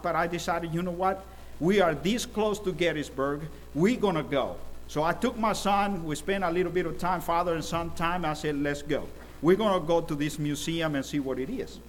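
A man's voice: fairly high pitch, moderate pace, slight background noise, poor audio. Raised-voice Salvadoran-born male preacher. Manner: storytelling, emphatic swells in volume. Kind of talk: sermon.

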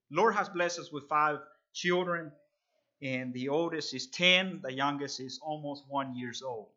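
A man preaches a revival sermon, earnest and halting, often pausing on fillers. His voice sits a little high and sounds bright and forceful. The recording is dull and lo-fi. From El Salvador. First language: Spanish. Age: 30s. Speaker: visiting preacher.

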